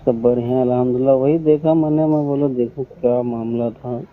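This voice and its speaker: A man with an average-pitched voice speaks calmly on a phone call, asking questions and giving brief acknowledgments. The audio is thin and tinny, as over a phone line. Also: a little fast. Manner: calm, mostly brief acknowledgments. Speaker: caller asking about an incident. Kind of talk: phone conversation.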